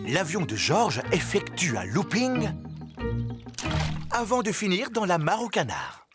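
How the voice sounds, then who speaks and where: A man narrating a children's cartoon in a warm, amused storybook style. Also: high voice, huge pitch swings, measured pace, music in the background, full character voice. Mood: storytelling.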